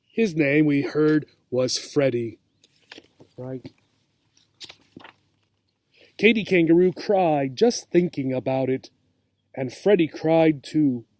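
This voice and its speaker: Male teacher for children's story reading: mellow, slightly high, expressive, guiding young English learners. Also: takes it slowly, long pauses, dry recording. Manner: checks understanding with questions.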